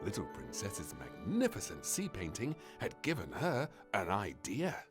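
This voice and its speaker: Male storyteller, children's picture book narration, very animated, slow, expressive, deliberate phrasing. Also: low-pitched, soft background music.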